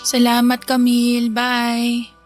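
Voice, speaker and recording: A woman's voice: fairly high pitch, monotone, slow pace, faint music behind. A woman playing a polite, anxious, ailing young office worker in a Filipino radio drama, with a Filipino accent. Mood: weary, chatty. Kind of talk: Tagalog radio drama acting.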